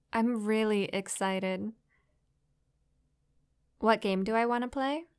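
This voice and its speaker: A woman playing a friendly, curious young succubus in an intimate roleplay audio drama, with a soft, playful, inquisitive manner. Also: medium pitch, smooth, close to an everyday voice. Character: friendly, curious young female succubus new to human life.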